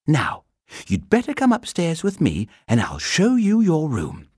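Performer putting on a high, chattering young girl. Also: wildly swooping pitch, a little slow, darkish tone, breathy edge, dry recording, studio quality, heavily acted. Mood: chatty. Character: friendly, chatty young girl, eager and reassuring.

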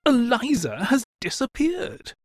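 A woman voicing an impatient, petulant, bossy gentleman professor in a dramatised storybook, exasperated and indignant. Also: a little high, sing-song, takes it slowly, no echo, heavily acted. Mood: upset, impatient. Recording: music behind the voice.

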